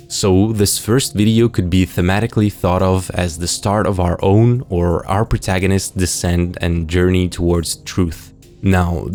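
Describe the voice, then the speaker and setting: A low-pitched male narrator walks through his analysis like a video essayist. His delivery is scripted yet conversational, speculative and explanatory, with dry asides. Faint music plays underneath. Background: soft music bed.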